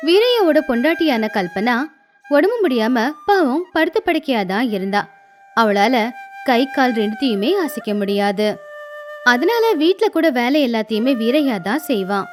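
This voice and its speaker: A woman's voice: on the high side, expressive, normal speed, faint music behind. A woman reading scripted storybook narration as the narrator of a tale.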